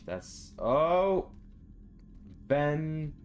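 A man's voice: a little high, big pitch swings, drawn-out words, faint music behind. A young man doing casual horror game commentary, muttering to himself in short phrases. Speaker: gamer, let's play host. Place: small room at home.

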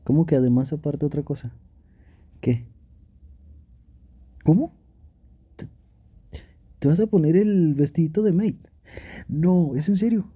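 Man playing teasing young boyfriend, ASMR roleplay, pouty, sweet-talking, breathy-rough voice, slightly muffled recording. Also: fairly high pitch, expressive, unhurried, pauses often. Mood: eager, surprised. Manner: playful, pleading, sweet-talking with pet names. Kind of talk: Spanish ASMR boyfriend roleplay, intimate one-sided dialogue.

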